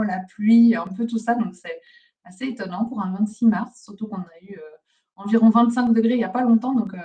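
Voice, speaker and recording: A woman's voice: a little high, a little mellow, recorded from a distance. A woman from Belgium giving an informal live question-and-answer lesson as a host and trainer, chatty and encouraging as she explains. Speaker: host, pharmacist and aromatherapy trainer.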